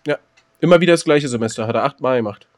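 A man's voice: mid-range voice, very fast. A man co-hosting a joking podcast, trading dry, teasing banter. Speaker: podcast co-host. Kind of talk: joking casual chat.

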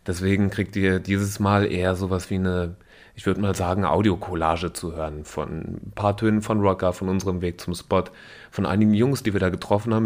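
A man hosting a podcast, talking in a relaxed, chatty way with slang and fillers while he has a cold. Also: low voice. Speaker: podcast host. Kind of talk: casual podcast chat.

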